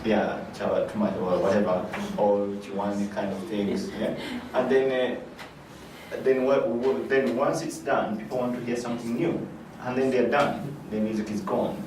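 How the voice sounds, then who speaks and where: A man's voice: medium pitch, fairly even intonation, moderate pace, darkish tone. A man who directs an arts foundation, answering interview questions with many fillers and restarts. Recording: echoey room, mic far off, noisy.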